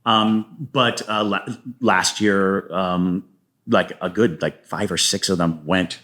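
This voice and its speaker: Man, low voice, guest playwright, casual interview talk, storyteller manner. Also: steady tone, takes it slowly, sharp tone. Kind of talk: casual interview talk about own writing.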